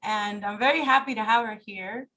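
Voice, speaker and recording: A woman's voice: medium pitch, normal speed, sharp tone, poor audio. A woman hosting an interview who introduces her guest and asks long, rambling questions in a chatty way. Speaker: host. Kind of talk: casual interview.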